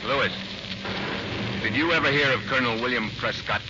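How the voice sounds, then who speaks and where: An actor playing a steady, resolute Marine commanding officer in a 1940s radio drama, speaking with measured military authority. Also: male voice, mid-range voice, lightly acted. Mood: calm, measured. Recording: muffled, very noisy 1940s radio recording.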